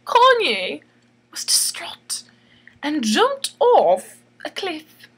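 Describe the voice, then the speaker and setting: A woman's voice: sits high, wildly swooping pitch, extremely slow. A woman reading a bedtime story in a theatrical, tongue-in-cheek way, giggly and chatty, repeating phrases for emphasis. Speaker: storyteller and illustrator.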